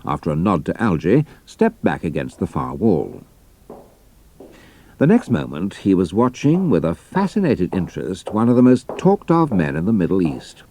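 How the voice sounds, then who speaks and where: Low, animated British male storyteller. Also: no echo. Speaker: narrator. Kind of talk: scripted dramatic storytelling narration.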